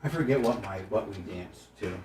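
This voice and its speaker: A man's voice: lowish voice, fairly quick, darkish tone. Blunt man on radio, in an echoey room. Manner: blunt, self-deprecating. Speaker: radio show host. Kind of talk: casual profane radio banter. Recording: roomy, distant, faintly noisy pickup.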